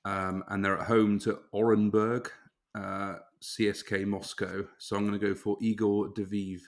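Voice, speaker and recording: Low, flat, matter-of-fact man. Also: unhurried. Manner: steady, matter-of-fact, listing picks. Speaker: podcast co-host. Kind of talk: casual chat.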